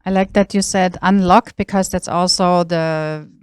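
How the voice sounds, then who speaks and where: A woman's voice: on the low side, steady tone, a little slow, a little mellow, smooth. A woman hosting and wrapping up an interview, gracious and thankful, with occasional fillers. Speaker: host, moderator. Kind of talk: closing an interview.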